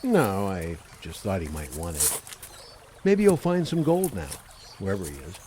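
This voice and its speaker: Actor as a low, animated, blunt cop. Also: male voice, normal speed, a little hiss or hum. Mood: wry, relaxed. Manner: blunt, authoritative. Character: tough, unyielding male homicide cop with wry streak. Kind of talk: radio play acting.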